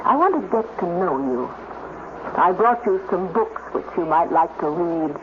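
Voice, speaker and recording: A woman playing an earnest, insecure scientist in a 1950s radio drama, speaking haltingly and with emotion. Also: fairly low pitch, expressive. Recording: dull, noisy 1950s radio recording.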